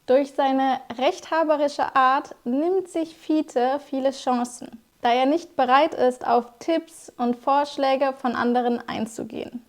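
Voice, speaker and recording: A young woman who is a German teacher, explaining vocabulary to viewers in a clear, scripted lesson style. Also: high-pitched, fairly even intonation, slow, few pauses.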